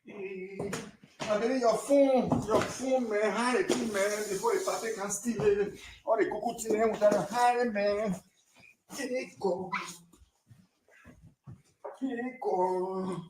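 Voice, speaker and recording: Woman doing a frantic liberian english lady hiding her stuff, slow talker, far off, noisy echoey room. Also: medium pitch, steady tone, natural voice. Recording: distant roomy clip with loud background noise.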